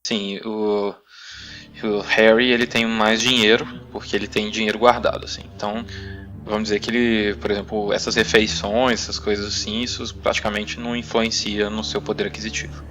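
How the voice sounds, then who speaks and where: A man's voice: lowish voice, level tone, normal speed, a bit bright. A Brazilian man narrating a tabletop role-playing game as game master, improvising in a conversational way and thinking aloud. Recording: background music under the voice. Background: clear music.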